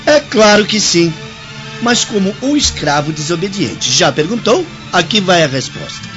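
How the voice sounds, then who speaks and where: A man's voice: high voice, very animated, normal speed. A man playing a proud, playful Roman nobleman in a radio drama. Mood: jovial, lordly. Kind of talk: radio drama acting in Portuguese. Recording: muffled radio drama under loud music.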